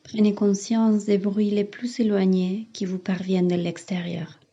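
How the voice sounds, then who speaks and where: A woman leads a guided relaxation as a yoga teacher. Her delivery is slow and nearly flat in melody, with soothing, measured instructions and long pauses. The recording sounds a little dull and muffled. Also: medium pitch. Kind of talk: guided meditation.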